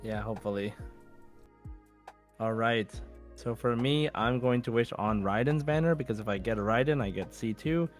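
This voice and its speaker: A man with an average-pitched voice co-hosts a podcast. He speaks informally and conversationally, addressing his listeners directly. Faint music sits behind the talk. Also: normal speed. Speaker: podcast co-host.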